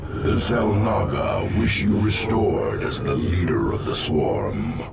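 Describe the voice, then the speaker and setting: Very deep, gravelly alien prophet. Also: male voice, sing-song, full character voice. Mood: solemn urging. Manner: grave, cryptic, deliberate. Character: ancient solemn alien prophet, weary and mysterious. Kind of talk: video game cinematic acting. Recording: loud music behind the voice.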